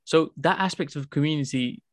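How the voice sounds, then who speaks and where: A man's voice: on the high side, brisk, no echo, clean studio sound. A young man from Nepal making reasoned, explanatory points in a casual group discussion. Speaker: podcast panelist.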